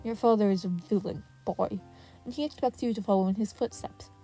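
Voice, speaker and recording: A woman's voice: mid-range voice, brisk, mellow, husky, faint music behind. A woman voicing a cold, abusive male henchman in an audio story, threatening and domineering. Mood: menacing. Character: menacing abusive man, cold villainous henchman threatening a boy.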